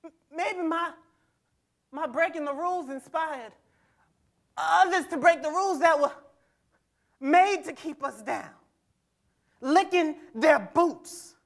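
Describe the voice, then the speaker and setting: Young man playing high-voiced, defiant teenage boy. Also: fairly bright tone, exaggerated voice. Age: young adult. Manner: folksy vernacular, halting emphatic delivery. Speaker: actor in a dramatic reading. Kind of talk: staged dramatic reading. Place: theatre-like stage in a conference hall. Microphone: lapel.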